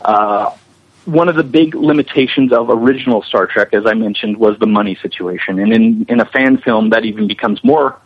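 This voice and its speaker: Husky man interviewed on muffled lo-fi audio. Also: medium pitch, sing-song, brisk. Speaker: interview guest, animator. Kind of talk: explaining his work in an interview.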